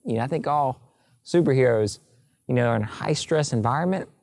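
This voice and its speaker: A man's voice: medium pitch, animated, brisk, gravelly, darkish tone, dry recording, studio quality. A man in his thirties, hosting a show, speaking to the camera in an upbeat, tongue-in-cheek way on a lapel mic. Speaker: show host. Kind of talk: to-camera talk. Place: outdoors in front of a sign.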